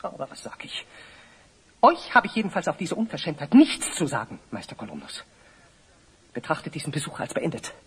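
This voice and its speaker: An actor playing a stern, pompous village priest with a haughty, formal delivery in a children's audio drama. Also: male voice, on the high side, wildly swooping pitch, fairly quick, pauses often, slightly rough, heavily acted. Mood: indignant.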